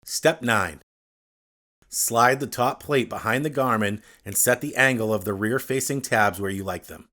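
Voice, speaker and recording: A man reading a scripted step-by-step tutorial, addressing the viewer directly in a clear instructional manner. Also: mid-range voice, a little slow, bright, forceful tone. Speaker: instructional video narrator.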